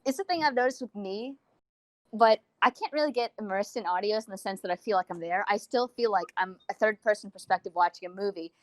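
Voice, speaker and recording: Woman, quick rambling delivery, gushing fan talk, casual podcast co-host, dry close sound. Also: medium pitch, dry recording, poor audio. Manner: gushing, rambling, frequent use of "like". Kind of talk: casual fan interview chat.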